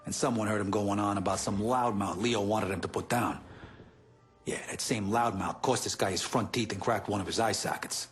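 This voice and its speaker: A man's voice: lowish voice, steady tone, normal speed, faint music behind, close to an everyday voice. A man acting a weary, profane middle-aged gangster in a video game, talking bluntly and streetwise. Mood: bitter, grim. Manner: blunt, streetwise, heavy swearing.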